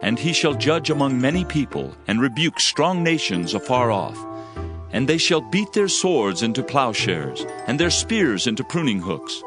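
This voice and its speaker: An actor playing a fervent, solemn prophet in a dramatized scripture reading, declaiming judgment and hope. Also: male voice, medium pitch, very animated, normal speed, natural voice. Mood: solemn, exalted. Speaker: Bible narrator. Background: music.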